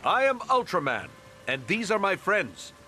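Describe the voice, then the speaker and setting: An actor playing a grandiose, self-important superhero team leader in a video game, announcing pompously. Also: male voice, sits high, very animated, measured pace, bright, forceful tone, a little hiss or hum, full character voice. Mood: grand, proud. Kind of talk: video game cutscene acting. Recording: music behind.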